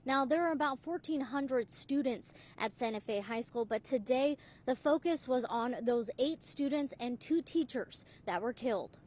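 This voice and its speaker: A woman's voice: high-pitched, animated, normal speed, few pauses, darkish tone, slight background noise. A woman working as a field reporter, delivering broadcast news reports in a scripted, on-location style. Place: outdoors at a memorial for live reports.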